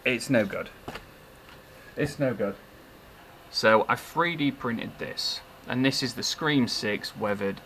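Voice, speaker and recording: A man talking casually and haltingly in a low-key, unscripted showcase of something he made. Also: pushed and bright, a little hiss or hum. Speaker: maker showing his work.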